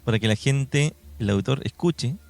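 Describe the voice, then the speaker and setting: A man's voice: medium pitch, expressive, moderate pace, creaky, darkish tone, no room sound. A Chilean man co-hosting a paranormal radio show in a conversational studio style. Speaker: radio co-host. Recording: music bed under studio talk. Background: music.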